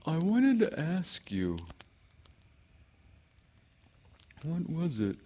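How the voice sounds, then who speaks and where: A sweet-natured, earnest toy bear from a storybook, acted out by a man. He speaks slowly and simply, with a raised pitch that rises and falls a great deal. He is polite and naive in every phrase. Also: huge pitch swings, warm tone, full character voice. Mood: eager, friendly. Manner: slow, earnest, simple-minded delivery. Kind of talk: storybook character voice in a read-aloud.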